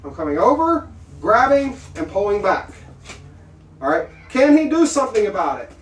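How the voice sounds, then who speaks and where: Man, high voice, blunt casual instructor, distant mic, echoey room. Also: very animated, moderate pace. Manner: blunt, plainspoken, asks the partner questions. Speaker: self-defense instructor.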